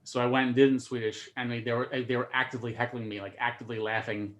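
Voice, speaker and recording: A man's voice: medium pitch, flat delivery, very fast, a bit bright. A man, a stand-up comedian, chatting casually on a video call and often repeating words as he starts his sentences. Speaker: comedian guest.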